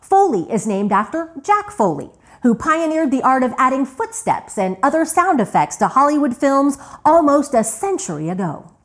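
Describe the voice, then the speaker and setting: A woman's voice: high voice, big pitch swings, normal speed, few pauses. A woman hosting and narrating a scripted radio documentary. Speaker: host narrator.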